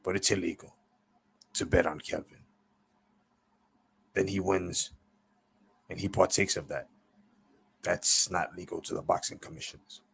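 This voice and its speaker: A man voicing a gruff, principled old man in a told story, plain-spoken, deliberate and profane. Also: sits low, fairly even intonation, a bit bright, slight background noise, exaggerated voice. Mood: stern. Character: gruff old male boxing mentor, principled but affectionate.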